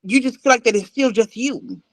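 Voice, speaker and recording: A woman in her thirties telling a personal story as an interview guest on a video call, deliberate and emphatic, repeating phrases. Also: fairly high pitch, low-quality recording.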